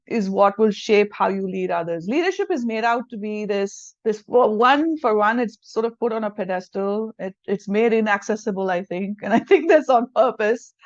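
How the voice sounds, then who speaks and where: A woman from India speaks, her Hindi. She talks earnestly and thoughtfully in an interview, reworking her phrasing as she goes. Her voice sits at a middle pitch and sounds dull and dry, as if recorded lo-fi. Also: no room sound. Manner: reflective, earnest, rephrasing as she thinks. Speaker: self-leadership coach, guest. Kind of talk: conversational interview.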